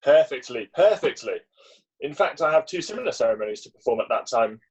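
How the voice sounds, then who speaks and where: A man's voice: mid-range voice, very animated, fairly quick, darkish tone, slightly rough. A man playing a kindly, pompous Victorian clergyman with solemn, formal delivery in an amateur play reading. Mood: solemn, obliging. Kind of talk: amateur play read-through. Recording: dull, lo-fi video call audio.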